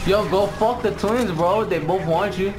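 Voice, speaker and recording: A man's voice: high voice, unhurried, music in the background. A young man bantering casually with friends, teasing them in profane slang.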